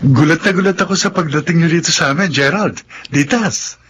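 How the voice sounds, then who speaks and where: An actor with a husky voice and Filipino accent playing a friendly young man who is a grateful best friend, in a radio drama. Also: fairly high pitch, a little fast, fairly bright tone, soft background music, close to an everyday voice. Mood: surprised, amused. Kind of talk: Tagalog radio drama acting.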